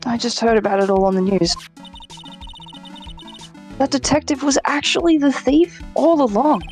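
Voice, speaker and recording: A woman's voice: high-pitched, moderate pace, darkish tone, soft background music, natural voice. A woman voicing an apologetic young female museum worker in a video game dub, hesitant and gushing. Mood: relieved, gushing.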